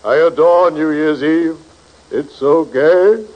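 A very slow, formal undertaker speaks with ghoulish good cheer in forties radio comedy style. The recording is old and muffled, with faint noise behind him. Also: male voice, fairly high pitch. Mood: mock jolly. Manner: slow, formal, solemnly jovial delivery. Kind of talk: 1940s radio comedy acting.

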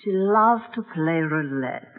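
Grieving mother, woman, husky, echoing hall, muffled vintage radio drama. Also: low-pitched, animated, takes it slowly, heavily acted. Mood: sorrowful. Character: grieving older Polish mother in mourning, gracious. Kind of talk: old-time radio drama acting. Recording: muffled vintage radio recording, reverberant, music.

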